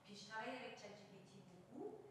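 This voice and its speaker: A woman's voice: mid-range voice, sing-song, quick pace. A woman asking a panel a detailed, frustrated question from the audience. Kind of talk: question from the floor. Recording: far from mic, very echoey hall with noise.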